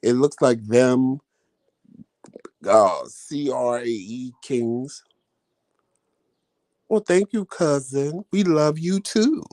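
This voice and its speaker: A man's voice: medium pitch, lively intonation, drawn-out words, pauses often, darkish tone. A man hosting a vlog live stream, chatting casually with viewers in a rambling, folksy way. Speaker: vlog host answering live chat.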